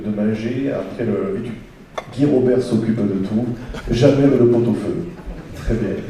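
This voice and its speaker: A man's voice: on the low side, dark tone. A man, a musician giving an informal, anecdotal tribute speech. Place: auditorium, live event. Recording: echoey auditorium, distant mic, faint noise.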